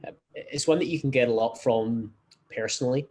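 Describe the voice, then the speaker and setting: A man with a Scottish Glasgow accent, a startup founder calmly explaining his software in a remote interview, with a few fillers. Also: mid-range voice. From Scotland. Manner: measured, explanatory, frequent fillers. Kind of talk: conversational interview.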